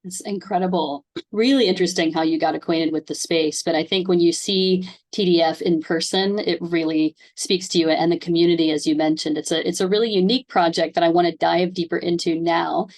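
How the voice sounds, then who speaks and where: Woman, podcast host, slightly low pitch, conversational interviewing. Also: fairly even intonation, a little fast, hardly any pauses, a little mellow, low-quality recording.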